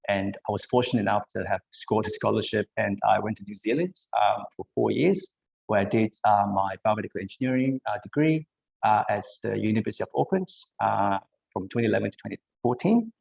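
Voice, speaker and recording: A man in his thirties from Malaysia, giving an online career talk over a video call and pausing often with ums and uhs. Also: on the low side, vocal fry, a little mellow, low-quality recording. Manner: hesitant, many ums and uhs. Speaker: biomedical engineer, panel speaker.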